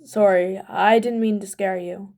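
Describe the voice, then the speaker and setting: A woman playing a weary male teacher who talks gently but firmly. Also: medium pitch, steady tone, measured pace, natural voice. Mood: gentle, concerned.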